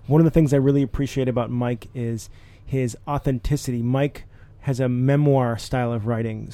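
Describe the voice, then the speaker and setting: A man's voice: mid-range voice, dark tone. A man hosting a podcast, introducing his guest and asking questions in a friendly, chatty way. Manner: friendly, chatty, laughs along. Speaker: podcast host. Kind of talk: casual interview hosting.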